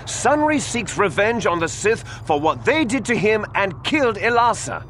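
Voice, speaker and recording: An actor playing an accusing sci-fi courtroom prosecutor in a video game, theatrical, formal and insinuating. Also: male voice, high-pitched, sing-song, normal speed, heavy background noise. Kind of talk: video game voice acting, courtroom cross-examination.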